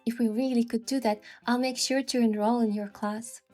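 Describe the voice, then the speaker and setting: A woman's voice: on the high side, steady tone, normal speed, darkish tone, close to an everyday voice. A woman plays a young girlfriend in a romantic game scene. She is playful, coaxing and earnestly affectionate, and music runs faintly behind her.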